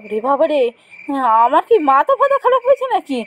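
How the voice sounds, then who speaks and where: A woman's voice: high voice, very animated, a little fast, a bit bright. Woman acting a fretful, timid young cartoon woman. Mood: fearful, grumbling. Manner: fretful, complaining, dramatic cartoon delivery. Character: timid, grumbling young woman afraid of night forest. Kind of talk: Bengali animated ghost story dialogue.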